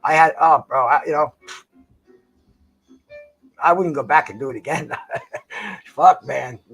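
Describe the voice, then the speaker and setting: High-pitched older man rambling over music. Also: vocal fry, a bit bright, low-quality recording. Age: 60s or older. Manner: slangy, profane, says "man" and "bro" often; halting, repeats and stammers on words. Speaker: live stream host. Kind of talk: rambling casual chat to livestream viewers. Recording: jazz music playing behind the voice. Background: jazz concert recording.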